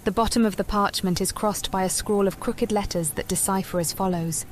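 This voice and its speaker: Woman as a calm determined fantasy game heroine, normal mid pitch, scripted delivery. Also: fairly quick, a little hiss or hum, natural voice. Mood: measured. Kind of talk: scripted video game dialogue and narration.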